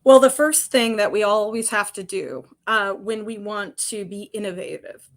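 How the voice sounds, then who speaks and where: Commanding woman guest, emphatic and lively. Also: medium pitch, normal speed. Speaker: construction industry guest. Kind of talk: podcast interview answers.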